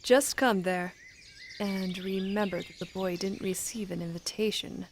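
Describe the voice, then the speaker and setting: A woman's voice: medium pitch, steady tone, a little slow, a little hiss or hum, natural voice. A woman playing a composed, stern warrior with a curt, matter-of-fact way of talking in an anime fan audio drama. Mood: composed, serious.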